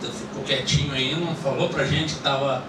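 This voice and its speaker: A man's voice: medium pitch, fairly even intonation, normal speed, crowd noise. A man, hosting a panel talk at a small cinema club, rambling with many fillers. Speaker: host. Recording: echoey room, mic from far off, noisy.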